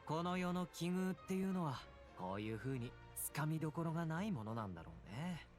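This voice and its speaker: Breezy young warrior, lively lilt. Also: male voice, a little high, rapid-fire, soft background music. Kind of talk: Japanese video game voice acting.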